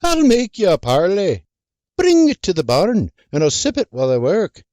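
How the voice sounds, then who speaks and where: A narrator voicing a stubborn, grumbling old farmer with a raspy, coughing voice and a gruff, evasive manner. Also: male voice, sits high, huge pitch swings, moderate pace, a little mellow, dry recording, studio quality, voice pushed up. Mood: sly. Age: older.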